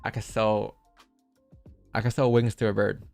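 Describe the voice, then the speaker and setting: A man's voice: lowish voice, little pitch movement, moderate pace, gravelly, darkish tone, faint music behind. A young man hosting a live trading stream, talking casually and jokingly straight to his chat while he walks through charts. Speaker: livestream trading host.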